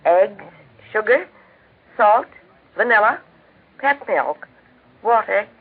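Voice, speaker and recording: An American woman with a genteel, measured, instructive manner, speaking scripted homemaking talk as host of a 1940s radio cooking show. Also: medium pitch, expressive, husky. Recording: tinny band-limited 1940s radio recording.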